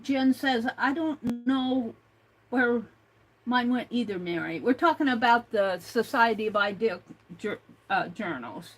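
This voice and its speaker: An older woman hosting an art livestream, chatting slowly and reading out viewer comments, with many fillers and restarts. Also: on the high side, expressive, low-quality recording. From United States.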